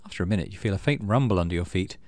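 A man's voice: deep voice, rapid-fire, a little mellow, dry recording. A man giving casual let's play commentary, thinking aloud and reading game text as he goes. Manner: thinks aloud, muttering through choices. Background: game music.

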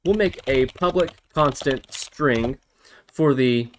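A man's voice: medium pitch, slow, a little hiss or hum. A man narrating a programming tutorial, explaining step by step and thinking aloud as he goes. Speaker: tutorial host.

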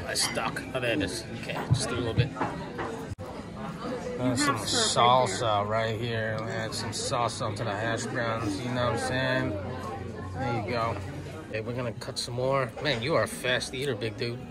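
Middle aged guy vlogging, easygoing n folksy, medium pitch, packed noisy restaurant behind him. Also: moderate pace. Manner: laid-back, folksy, narrates small actions. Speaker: vlogger dad filming his family. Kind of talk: casual family vlog chat. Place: busy indoor chain diner. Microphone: handheld camera, operator behind it. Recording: loud music and restaurant crowd din.

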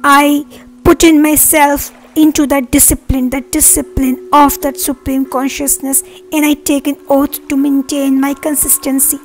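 A woman leading a guided meditation with affirmations, speaking earnestly in measured, separate phrases. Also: sits high, flat delivery. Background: meditation music.

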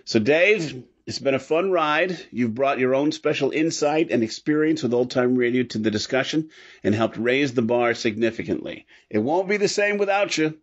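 A male podcast host speaks casually in a mid-pitched voice, with a joking, teasing way about him. The sound is a little dull, as on a lo-fi recording. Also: animated, normal speed.

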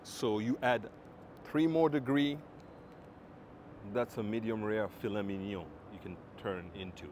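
A man's voice: fairly low pitch, measured pace, pauses often, darkish tone. A man from Congo, chatting easygoing to camera on a smartphone while walking outdoors along a waterfront at night. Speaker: travel vlogger. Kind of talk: casual vlog talk to camera. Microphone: smartphone on a handheld gimbal. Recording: street traffic noise behind.

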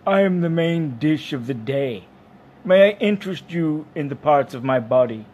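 A man's voice: a little high, expressive, moderate pace, darkish tone, husky, voice pushed up. A man voicing a large, fat, placid talking cow in a storybook, with a polite waiter's manner, eager to please. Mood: contented, ingratiating. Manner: polite, obliging waiter-like delivery.

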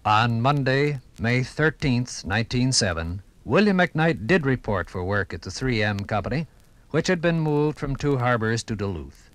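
A man reading scripted 1950s radio documentary narration in a measured, formal storytelling manner. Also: medium pitch, expressive, no room sound.

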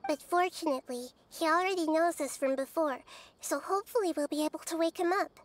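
A woman voicing a gentle, wise child-like goddess in a video game, soft and girlish, calm and thoughtful. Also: very high-pitched, fairly quick. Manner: calm, thoughtful, explains with analogies. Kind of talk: game voice acting. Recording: music behind voice.

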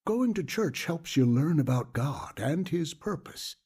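Slow, husky, earnest pastor. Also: male voice, a little high, very animated, exaggerated voice. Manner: earnest, gently instructive, quotes scripture solemnly. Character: patient, earnest male pastor counseling a young woman. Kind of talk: audio drama dialogue, preaching.

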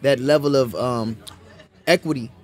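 A man in his thirties from Los Angeles, a guest actor reflecting on growing up in a casual podcast chat. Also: medium pitch. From South Central Los Angeles, USA. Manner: reflective, quick-talking. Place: indoor studio set. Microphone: boom mic.

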